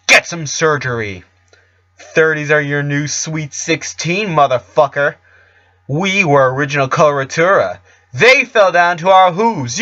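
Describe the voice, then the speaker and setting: A man's voice: high-pitched, sharp tone, dry recording, dull audio, pitched above the performer's own voice, heavily acted. A man voicing a domineering, taunting female pony villain, a diva alter ego who goads and harangues in an audio story.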